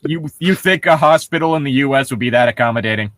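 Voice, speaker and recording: A man's voice: medium pitch, fast talker, a bit bright, no room sound. A man hosting a casual read-along, switching between reading a story and sarcastic, nitpicking, sometimes profane commentary. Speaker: host reading a story aloud with mocking commentary.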